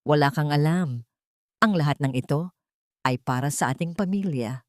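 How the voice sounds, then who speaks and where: A woman's voice: deep voice, moderate pace, a little mellow, dry recording, studio quality, natural voice. A woman with a Filipino accent voicing a villainous older mother-in-law, imperious and scolding. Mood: furious.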